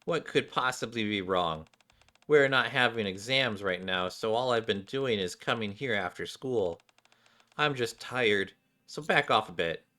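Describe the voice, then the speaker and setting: A man voicing a surly, sharp-tongued schoolgirl from a game, curt and dismissive. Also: on the low side, lively intonation, a little slow. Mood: guarded, weary.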